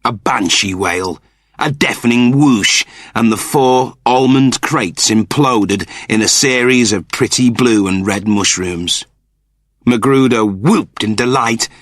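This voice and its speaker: Husky man narrating an adventure story. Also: mid-range voice, slow pace, a bit bright, dry recording, studio quality. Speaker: audiobook narrator. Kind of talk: scripted dramatic fiction narration.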